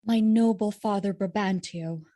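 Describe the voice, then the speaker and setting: A woman's voice: medium pitch, measured pace, dark tone, natural voice. An actress playing a dutiful, resolute young noblewoman in love, earnest and formal, in a Shakespearean audio drama. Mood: respectful, resolute.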